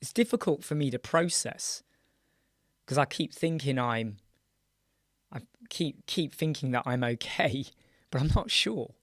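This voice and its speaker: British male host, hoarse voice, mid pitch, chatty live-stream delivery, very dry booth. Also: animated, a little slow, pauses often, fairly bright tone, no echo. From United Kingdom. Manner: chatty, greets viewers by name, trails off and restarts. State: hoarse, croaky, clearing his throat. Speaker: live chat show host. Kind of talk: casual live-stream chat with viewers. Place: small dark room with soundproof panels.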